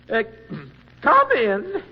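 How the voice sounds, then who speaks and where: An actor playing a timid, fussy old male mayor who stammers, hems and clears his throat, in 1940s radio acting on a dull old broadcast. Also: extremely high pitch, full character voice.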